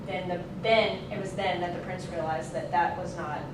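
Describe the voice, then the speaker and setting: A woman's voice: fairly low pitch, steady tone, moderate pace. A young adult woman giving an informal student speech in a classroom, casual and loosely worded, correcting herself. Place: indoor classroom. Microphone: distant camera microphone. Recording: very echoey, noisy room, distant mic.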